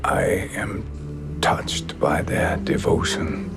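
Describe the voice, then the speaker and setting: A man's voice: a bass voice, fairly even intonation, very slow, creaky, exaggerated voice. A man playing a sly, amused sorcerer villain in a video game, with a mocking, theatrical delivery. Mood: mock sorrow. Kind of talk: video game cutscene acting. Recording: loud music behind the voice.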